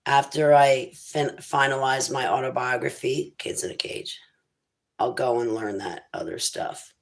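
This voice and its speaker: A woman with a raspy voice chats on her live stream in a loose, wandering way. She takes her time over each thought. Her manner is unhurried and conversational. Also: rumbling low. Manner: rambling, faith-filled musings. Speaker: internet radio host. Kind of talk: casual livestream chat.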